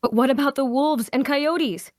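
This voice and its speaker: Actress as earnest female adventurer, morally insistent, higher voice, fantasy drama, dry sound. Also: normal speed, no echo, lightly acted. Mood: indignant. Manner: indignant, pleading. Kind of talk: fantasy drama table read.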